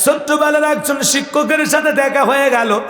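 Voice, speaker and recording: A man's voice: extremely high pitch, moderate pace, sharp tone. A man with a Bangladeshi accent delivering an impassioned Islamic storytelling sermon, exhorting his listeners and calling on them to respond. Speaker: Islamic preacher. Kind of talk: emotive storytelling sermon to a gathering. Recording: echoey hall sound, long reverb.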